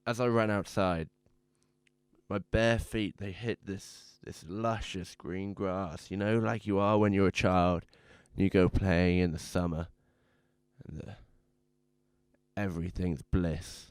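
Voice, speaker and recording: A man playing a troubled, hard-drinking man who tells his own story in halting, haunted phrases for a radio drama. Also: low voice, steady tone, a little mellow, clean tone, no room sound. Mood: urgent recollection. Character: troubled, drunken man confessing his ruin. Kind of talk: dramatic first-person radio drama monologue. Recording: music playing behind the voice.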